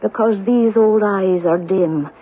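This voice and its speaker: Old Irish cottage woman, slow brooding lilt, insistent, radio horror play with tinny, faintly noisy sound. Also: medium pitch. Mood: reproachful. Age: older. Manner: lilting archaic dialect, brooding. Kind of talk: old-time radio horror drama acting.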